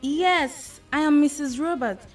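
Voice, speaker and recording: A high-pitched, commanding Nigerian madam, played by an actress in a radio drama. She is compassionate and emphatic, with formal phrasing. Faint music sits behind her. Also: slow pace. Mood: firm. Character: commanding, compassionate well-off madam, quick to take charge.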